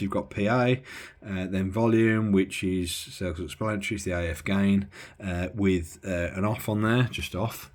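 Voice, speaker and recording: A man giving a casual, rambling bench-test walkthrough of an old radio, often pausing and correcting himself. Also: low voice. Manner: rambling, self-correcting, lots of filler. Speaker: radio hobbyist reviewing gear.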